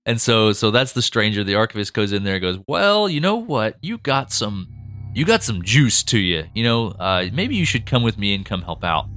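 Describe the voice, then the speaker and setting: Podcast co-host, man, lowish voice, chatty and loose, faint music bed. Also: sing-song, a bit bright. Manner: chatty, laughs often, acts out quick voices for characters. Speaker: podcast co-host and show creator. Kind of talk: casual behind-the-scenes chat.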